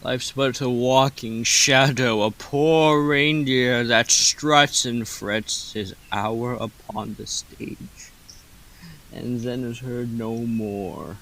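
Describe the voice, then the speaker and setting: Tragic reindeer male actor: brooding Shakespearean melodrama, drawn out to a crawl, with a sharp, intense edge. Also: mid-range voice, takes it slowly, poor audio. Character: tormented, self-pitying young reindeer as tragic hero. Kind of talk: mock-Shakespearean radio play acting.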